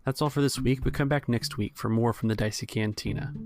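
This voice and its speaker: Gravelly man running a tabletop game. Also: medium pitch, steady tone, a little fast, over music. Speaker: game master, podcast host. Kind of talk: tabletop game roleplay narration.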